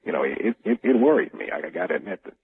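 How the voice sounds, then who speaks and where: An American man calling a radio show by telephone, speaking casually in a halting, hesitant way and repeating himself. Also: low-pitched, animated, gravelly. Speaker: radio phone-in caller. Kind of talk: casual phone-in talk. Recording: narrow, tinny phone line on radio.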